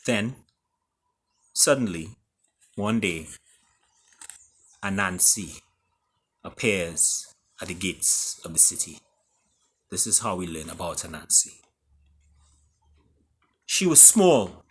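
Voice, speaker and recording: A man with a Caribbean accent reading his own story aloud in a theatrical way, with deliberate pauses. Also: fairly low pitch, animated. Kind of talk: dramatic storytelling.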